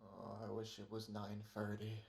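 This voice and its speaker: A man's voice: lowish voice, completely flat delivery, moderate pace, vocal fry, darkish tone. A man playing a wry, wisecracking radio actor in an old-time comedy-horror drama, sardonic and theatrical. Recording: roomy echoing home recording.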